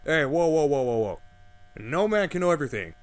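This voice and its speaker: A rambling conspiracy-nut host. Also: male voice, on the high side, brisk. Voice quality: rambling, excitable, flustered. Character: bumbling male conspiracy-theorist radio host. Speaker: radio host.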